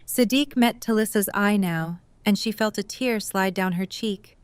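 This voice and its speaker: A woman's voice: medium pitch, a little slow, clean tone, dry recording. A woman narrating a scripted story as the narrator of an audio drama.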